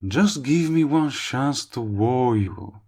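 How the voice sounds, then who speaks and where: A man playing a smug but tender villain in love, speaking intimately to the listener in a romantic audio roleplay, with a low, husky voice. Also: animated, warm tone. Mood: flirtatious. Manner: slow, murmuring, teasing. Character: lovestruck male villain, smug yet tender, persistent suitor. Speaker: voice actor.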